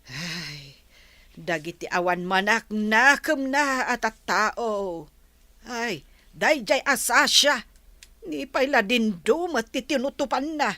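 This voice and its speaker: A woman's voice: a little high, lively intonation, slow pace, bright, forceful tone, no echo, exaggerated voice. An actress playing a protective, scolding older motherly confidante in a Filipino radio drama. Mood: disapproving.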